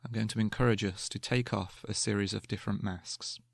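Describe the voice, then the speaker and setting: A man guiding a meditation in a calm, gentle, measured way, leaving long pauses between slow spoken instructions. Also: on the low side, gravelly, no room sound. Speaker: meditation guide.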